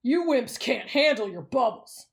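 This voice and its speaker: A woman voicing a brash, bossy young male warrior in an anime fan roleplay, blunt and gruff in manner. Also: on the high side, lively intonation, unhurried. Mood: brash, stubborn.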